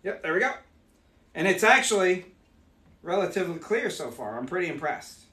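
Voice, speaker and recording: A man in his forties, hoarse from losing his voice, chatting upbeat as he demonstrates mead making live in a small room. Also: high-pitched, very animated, moderate pace, pushed and bright, echoey room. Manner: chatty, upbeat, explains as he works. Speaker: meadery owner hosting a live stream. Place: small home meadery room, indoors.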